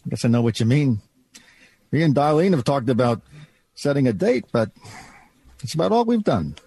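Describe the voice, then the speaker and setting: Husky, reluctant bachelor buddy. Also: male voice, mid-range voice, very animated, moderate pace, dark tone, no echo, exaggerated voice. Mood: reluctant, wry. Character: reluctant, unsentimental 1950s bachelor buddy, cautious. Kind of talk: old-time radio drama acting.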